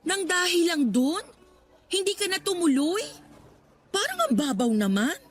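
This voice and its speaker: A woman's voice: extremely high pitch, sing-song, unhurried, exaggerated voice. An actress playing an exasperated, sulky young working girlfriend with a Filipino accent, huffy and nagging in a radio drama. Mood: questioning. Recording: background music under the voice.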